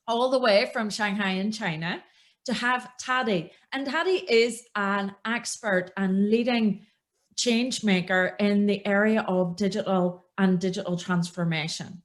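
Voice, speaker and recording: A woman's voice: medium pitch, unhurried. Upbeat woman hosting a podcast. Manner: upbeat, enthusiastic welcome. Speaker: podcast host. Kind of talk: scripted podcast introduction.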